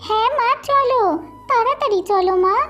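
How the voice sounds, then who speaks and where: A very high-pitched little cartoon girl, pleading and eager. She calls out in a plaintive, drawn-out way, with light music behind her. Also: fairly bright tone. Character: hungry young daughter, pleading and eager.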